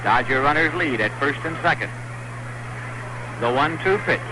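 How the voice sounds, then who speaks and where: A man calling a baseball game play by play for radio in a measured, calm way, heard on a noisy 1960s broadcast. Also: fairly high pitch. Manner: measured, calm calling that lifts on big plays. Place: stadium broadcast booth.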